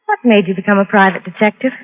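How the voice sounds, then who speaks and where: A woman's voice: medium pitch, fast talker, lightly acted. A woman playing an alluring, poised young hostess in a 1940s radio drama. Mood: wistful, curious. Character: alluring, well-bred young female party hostess. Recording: dull, reverberant old radio recording.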